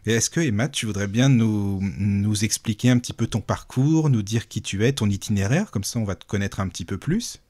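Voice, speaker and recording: A man hosts a small radio show, chatting with listeners and stumbling over fillers. His voice sits low. The recording is dry and close. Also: brisk, few pauses, a little mellow, no room sound. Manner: friendly, lots of fillers, promotional. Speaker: radio host.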